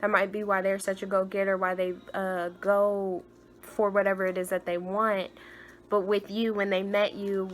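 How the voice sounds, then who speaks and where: Chatty young woman with a higher voice. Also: slight background noise. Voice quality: higher-pitched voice. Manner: chatty and gushing, trails off with soft murmured asides. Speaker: tarot reader. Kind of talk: casual tarot reading.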